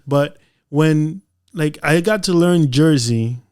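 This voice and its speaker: A man's voice: a little high, animated, slow pace, a little mellow, clean tone. A man from the Dominican Republic hosting a podcast, talking casually with his guest. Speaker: podcast host. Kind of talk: casual interview chat.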